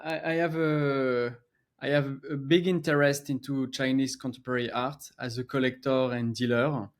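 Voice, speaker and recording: A man's voice: medium pitch, gravelly. Male guest, French accent, middle-aged, hesitant interview delivery. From France. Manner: halting, many filler pauses and restarts. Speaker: co-founder and gallery guest. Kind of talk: podcast interview.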